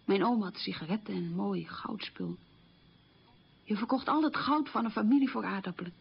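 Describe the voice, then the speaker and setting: A woman playing someone haunted by memories of wartime hunger, telling them haltingly in a reflective radio monologue. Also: mid-range voice, gravelly, husky, highs cut off. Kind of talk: radio documentary-drama monologue, reminiscing.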